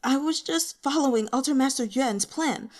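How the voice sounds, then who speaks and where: A woman's voice: sits high, normal speed, voice pushed up, lightly acted. A woman voicing a sickly, self-justifying male sect elder with a reedy voice in a fantasy audiobook. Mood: defensive.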